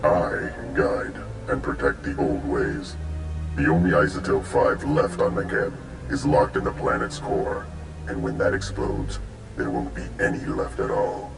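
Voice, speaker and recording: An actor playing an imperious alien crime-empire archon in a video game, speaking in a formal, pompous and menacing manner. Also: male voice, rumbling low, vocal fry, heavily acted. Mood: smug, threatening. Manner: pompous, deliberate. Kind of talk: video game cutscene acting. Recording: echoey, music underneath.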